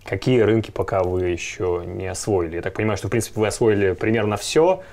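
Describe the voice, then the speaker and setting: A man's voice: lowish voice, fast talker, a little mellow. A man in his thirties asking questions and chiming in conversationally as an interviewer. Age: 30s.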